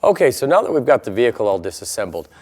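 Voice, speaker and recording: A man's voice: mid-range voice, very animated, racing, a little mellow, slight background noise. An American man presenting a step-by-step installation tutorial in an instructional style.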